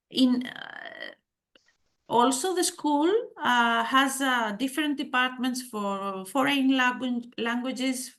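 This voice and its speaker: Slow, hesitant Greek-accented woman. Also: a little high, gravelly, a bit bright. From Greece. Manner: hesitant, many fillers, asks the audience questions. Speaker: school head of digital education giving a talk. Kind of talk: conference presentation to an audience.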